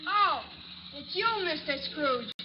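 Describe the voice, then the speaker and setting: A muffled, noisy old radio recording of an American child selling papers. The voice is extremely high and bouncy, eager and full of surprise. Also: female voice, lively intonation, takes it slowly. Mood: surprised, grateful. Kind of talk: 1950s American radio drama acting.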